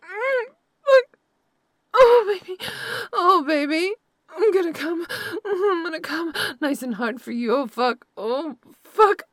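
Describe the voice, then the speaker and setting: A woman voicing a tender, possessive noblewoman lover in an intimate audio roleplay, talking in soft, slow whispers with quiet laughs. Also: high-pitched, big pitch swings, no echo, clean studio sound. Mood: passionate.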